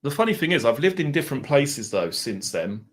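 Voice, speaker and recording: A man with an Essex accent hosts a live stream in a loose, rambling way. His pitch sits in the middle of the usual range. He drifts between banter with viewers and reading out their messages, with the odd mild swear word. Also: very animated, fairly quick.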